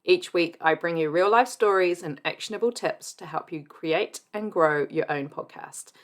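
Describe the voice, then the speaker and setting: A woman's voice: on the low side, moderate pace. A woman hosting a podcast, opening the show and guiding a guest with questions. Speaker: podcast host. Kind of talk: podcast intro and interview.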